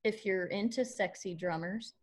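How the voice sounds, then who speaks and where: An actress playing a feisty young honky-tonk manager in a screenplay table read, with dry, quick-witted retorts. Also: female voice, medium pitch, natural voice.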